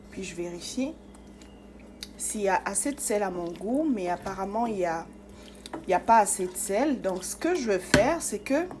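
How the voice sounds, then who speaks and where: A woman's voice: mid-range voice, noisy background. A woman from Congo-Kinshasa hosting her cooking channel, chatting through a recipe step by step with many fillers. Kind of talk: casual cooking tutorial.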